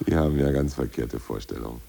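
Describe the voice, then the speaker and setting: A gruff ousted party boss. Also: male voice, extremely low pitch, dark tone, slight background noise, exaggerated voice. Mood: confident. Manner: blunt, coarse, self-assured bluster. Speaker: actor in radio play. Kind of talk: 1980s radio drama acting.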